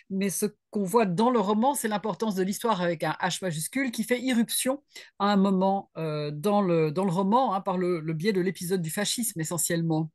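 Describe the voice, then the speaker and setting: A woman moderating an online literary conversation in a measured, formal way, with hesitant phrasing. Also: mid-range voice, darkish tone, no room sound, studio quality. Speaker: interviewer, academic moderator. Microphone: online video call.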